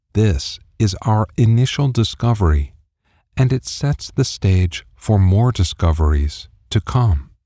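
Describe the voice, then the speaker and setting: A man reading a scripted spiritual text as an audiobook narrator, with deliberate, measured phrasing. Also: lowish voice, dark tone, no room sound, studio quality. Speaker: audiobook reader.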